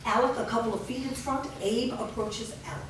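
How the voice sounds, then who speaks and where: A woman narrating stage directions in a staged script reading, reading them clearly and evenly. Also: on the high side, fairly even intonation, fairly quick. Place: large indoor studio room. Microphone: far camera. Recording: echoey room from far off, noisy background.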